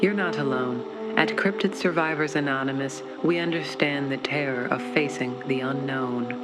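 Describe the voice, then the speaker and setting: A woman's voice: extremely low pitch, moderate pace, over loud music. A woman playing an earnest, reassuring support-group spokesperson in a parody commercial voice-over.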